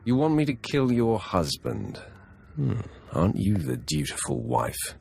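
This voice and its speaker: A man playing a brutish, sardonic Sith warrior in a video game, with terse lines in a gravelly voice. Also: fairly low pitch, wildly swooping pitch, unhurried, darkish tone, full character voice. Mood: cold amusement. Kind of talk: video game hero acting.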